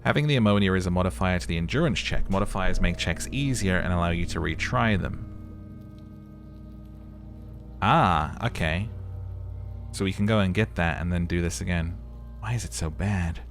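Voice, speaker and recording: A man's voice: sits low, normal speed, pauses often. A man playing through a video game, giving commentary and dramatic narration. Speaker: let's player narrating and voice acting a game. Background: game music.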